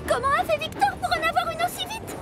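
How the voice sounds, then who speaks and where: A woman voicing a gentle, sensible female railway carriage in a children's cartoon dub, expressive in manner. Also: extremely high pitch, fast, music in the background. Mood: delighted. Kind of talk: animated children's series dub.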